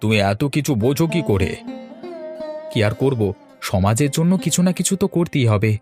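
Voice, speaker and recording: Male cartoon voice, mid-pitched, conversational and explanatory, faint music bed. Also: animated, moderate pace. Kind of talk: cartoon dialogue voice acting. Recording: music behind the voice.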